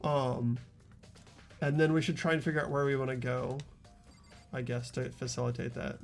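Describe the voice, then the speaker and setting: A man's voice: medium pitch, fairly even intonation, normal speed, creaky. A man hosting a video game playthrough, chatting casually and reading narration aloud, with game music behind him. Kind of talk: casual gameplay chat with read-aloud narration.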